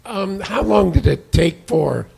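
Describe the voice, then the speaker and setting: A man in the audience asking a question slowly, with a gravelly, raspy voice. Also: high-pitched, lively intonation, darkish tone. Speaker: audience member.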